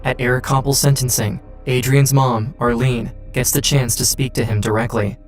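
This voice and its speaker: A man's voice: medium pitch, level tone, moderate pace. A man reading scripted true-crime documentary narration. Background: faint music.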